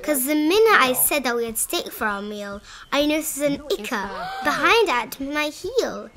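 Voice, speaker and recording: A woman reading a children's storybook aloud in an expressive, dramatizing manner. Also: high voice, measured pace, a crowd in the background. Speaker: read-aloud narrator of children's picture books.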